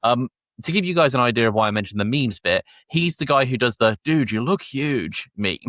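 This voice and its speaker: A man's voice: mid-range voice, animated, brisk, fairly bright tone, no echo, studio quality. A man hosting a casual review podcast, talking in an explanatory way and often correcting himself as he goes. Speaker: podcast host. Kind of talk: casual review chat with plot summaries.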